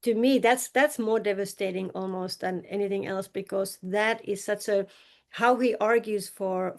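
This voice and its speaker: Finnish-accented woman scholar, thoughtful and halting. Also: medium pitch, darkish tone. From Finland. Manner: thoughtful, halting, frequent restarts, light laughs. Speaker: scholar and teacher. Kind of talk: academic podcast discussion.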